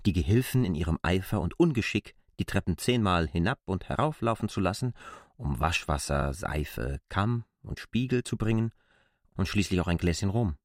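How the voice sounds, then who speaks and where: A man's voice: low voice, lively intonation, moderate pace, no room sound. A man reading literary prose as a narrator, close to a studio microphone. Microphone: close, dry studio microphone.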